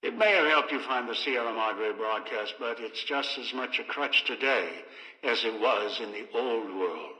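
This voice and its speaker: A man's voice: medium pitch, expressive, moderate pace, bright, forceful tone. A bitter, obsessive old male schemer from a video game. His voice is hard and piercing, coming through speakers with long hall reverb. He issues terse, menacing orders as if used to being obeyed. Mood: cold, threatening. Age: older. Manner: terse, imperious, ominous, clipped orders. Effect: voice over radio and speakers.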